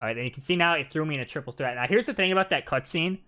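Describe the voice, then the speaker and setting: A man's voice: a little high, very fast, bright, forceful tone. A man giving casual, jokey live commentary as the host of a gaming video.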